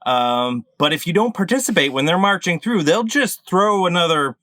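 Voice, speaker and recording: A man's voice: sits high, animated, moderate pace, a bit bright, dry recording. A middle-aged man improvising comic explanations on a panel, matter-of-fact, over a video call. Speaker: comedy panelist.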